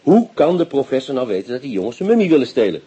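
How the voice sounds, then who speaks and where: An actor playing a sceptical, level-headed police inspector in a Dutch radio play, with dry, ironic reasoning. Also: male voice, medium pitch, very animated, fast talker, mellow, husky, muffled recording. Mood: sarcastic.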